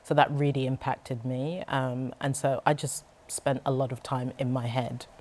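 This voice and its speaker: A woman's voice: extremely low pitch, flat delivery, normal speed, darkish tone. A woman with a London British accent, talking reflectively and candidly about herself as a studio interview guest. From born in Cameroon, raised in London. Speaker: interview guest, nonprofit co-founder. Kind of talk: personal interview talk.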